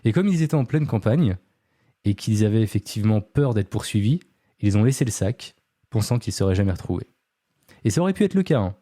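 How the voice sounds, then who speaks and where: A man's voice: fairly low pitch, animated, brisk, mellow, no room sound, studio quality. A man hosting a podcast, telling a true-crime story casually, with many fillers and chatty asides to his co-host.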